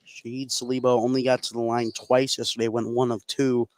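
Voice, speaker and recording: A man's voice: medium pitch, level tone, fairly quick, a little mellow. A man giving conversational, analytical color commentary during a live basketball radio broadcast. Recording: noisy gym, clear background noise.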